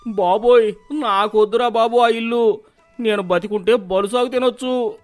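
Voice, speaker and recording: A man voicing an excitable, gullible village merchant in a cartoon moral story, exclaiming in an exaggerated way. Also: extremely high pitch, normal speed, soft background music. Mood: frightened.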